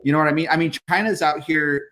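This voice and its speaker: A man's voice: on the high side, fairly even intonation, quick pace, pushed and bright. A man in his thirties co-hosting a podcast, ranting bluntly in casual chat with plenty of swearing and jokes. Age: 30s. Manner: blunt, profane, jokey ranting. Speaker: podcast co-host.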